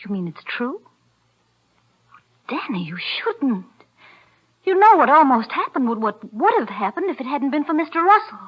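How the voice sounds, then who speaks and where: Worried young gal, high voice, emotional, pleading, muffled old radio drama. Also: female voice, big pitch swings, normal speed, pauses often. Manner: earnest, emotional. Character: anxious, pleading young girlfriend of a crook. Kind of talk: 1940s radio thriller acting. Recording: dull vintage radio recording.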